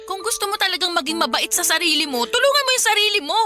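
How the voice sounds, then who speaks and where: Very high-voiced, frank Filipino sister. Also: female voice, sing-song, quick pace, bright, forceful tone, faint music behind, full character voice. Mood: earnest, pressing. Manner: blunt, scolding, earnest. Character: frank, exasperated but caring sister of a mourner. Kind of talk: Filipino radio drama acting.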